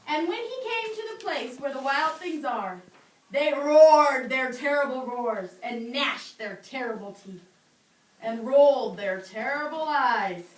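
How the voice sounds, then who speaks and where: A young woman teaching a class, reading a storybook aloud with animated, dramatic delivery and asking the children questions. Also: high voice, a little hiss or hum. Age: young adult. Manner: animated, swells loud for dramatic lines, drops soft for quiet ones. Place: school classroom. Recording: echoey classroom from far off.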